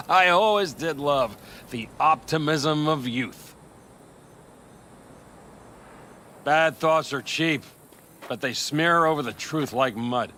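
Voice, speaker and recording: Older nomad chief, forceful edged voice, blunt folksy conviction, game dialogue, faint background noise. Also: male voice, a little high. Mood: wry, preaching. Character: older male nomad clan leader, blunt, proud, steadfast. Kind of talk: video game voice acting.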